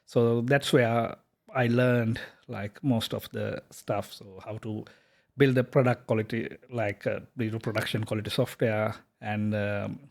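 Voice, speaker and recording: A man, a software company founder talking casually in an interview, hesitant with many fillers and restarts. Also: on the low side, steady tone, a little slow, creaky. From Sri Lanka. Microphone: podcast microphone.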